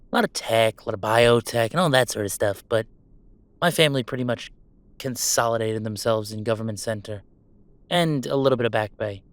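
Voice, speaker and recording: A man's voice: medium pitch, clear, resonant tone, no echo, close to an everyday voice. A man playing a chatty, affectionate, well-off gamer in a roleplay audio drama, talking casually and reassuringly in a rambling, wry way. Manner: casual, rambling, self-interrupting, wryly reassuring. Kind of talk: intimate roleplay audio acting, one-sided conversation.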